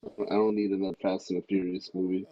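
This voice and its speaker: A man on a podcast panel chatting casually in a blunt, deadpan way with some swearing, in dull lo-fi sound. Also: deep voice, fast, a loud crowd. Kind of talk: casual chat.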